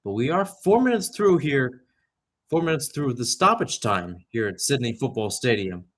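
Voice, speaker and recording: A young man calling live soccer play-by-play in a radio style, often correcting himself and slipping into conversational asides. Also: medium pitch. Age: young adult. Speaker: play-by-play commentator.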